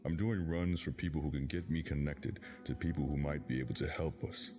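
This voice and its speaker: An actor playing a gruff, driven operative, protective and blunt, in an audio drama. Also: male voice, fairly even intonation, brisk, music in the background. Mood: earnest, reassuring. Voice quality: very deep voice.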